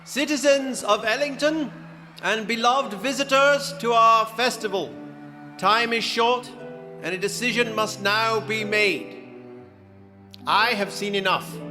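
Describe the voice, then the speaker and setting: A man's voice: high voice, slow pace, pauses often. An actor playing a proud fantasy duke in an audio drama, formally proclaiming to his citizens. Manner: formal, declamatory. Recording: long hall reverb over music.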